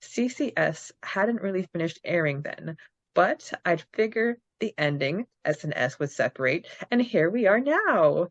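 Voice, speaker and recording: A woman's voice: lowish voice, expressive, dry recording. A woman co-hosting a casual fan podcast, reading out questions and answers and chatting. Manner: reads questions aloud, quick bursts, laughs often. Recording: dry, dull lo-fi sound.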